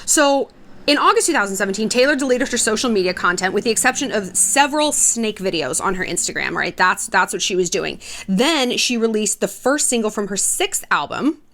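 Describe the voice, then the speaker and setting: A woman talks straight to her online audience as the host of the video. Her voice sits at an average pitch for a woman. She is chatty and irreverent, delivering gossipy commentary in a casual, sassy style and swearing freely. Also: big pitch swings, a little fast, fairly bright tone.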